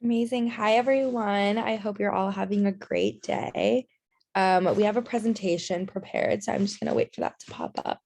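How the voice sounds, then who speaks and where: A woman's voice: mid-range voice, expressive, moderate pace, gravelly, darkish tone. A young woman giving an earnest online workshop presentation over a video call, with many fillers. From Taiwan and China. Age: young adult.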